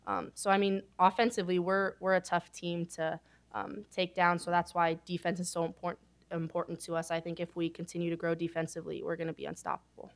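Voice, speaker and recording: A young woman basketball player answering reporters at a postgame press conference, quick and relaxed with frequent fillers, into a table microphone. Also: fairly low pitch, vocal fry. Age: young adult.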